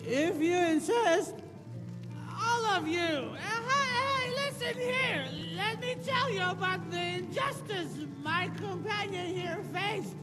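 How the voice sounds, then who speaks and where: Very high, flustered game companion. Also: female voice. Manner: stammering, halting, grandly wordy. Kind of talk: video game character dialogue. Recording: distant, echoing game audio under loud music.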